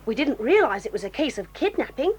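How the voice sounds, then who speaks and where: A woman with a British accent playing a bold, tomboyish girl who speaks briskly and cheerfully. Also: sits high, sing-song, slight background noise.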